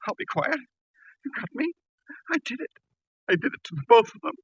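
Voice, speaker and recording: A man's voice: extremely high pitch, sing-song, fairly quick, a bit bright, slightly rough, dry recording, heavily acted. An actor playing a distraught middle-aged male killer in a 1940s radio drama, stammering and repeating himself as he confesses. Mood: broken, confessing. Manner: stammering, repetitive, frantic. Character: broken, raving male killer confessing to murder. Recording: dull, lo-fi vintage radio recording.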